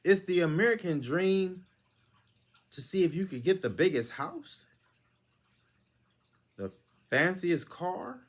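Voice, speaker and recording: Male vlog host, somewhat high voice, preachy, lectures through rhetorical questions. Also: animated, slow pace, long pauses. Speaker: channel host. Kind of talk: preachy monologue commentary.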